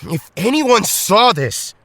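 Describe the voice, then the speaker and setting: A man acts a young male superhero leader in an audio drama. He is stern and reproachful, his highish voice swinging emphatically in a very dry recording. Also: very animated, slow, fairly bright tone, no room sound, exaggerated voice. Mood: angry, reproachful. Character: Tommy, stern young male Power Ranger leader, disappointed friend.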